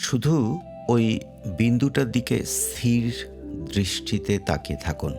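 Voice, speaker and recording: A man narrating a guided meditation in a measured way, pausing between short phrases. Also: mid-range voice, sing-song. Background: faint music.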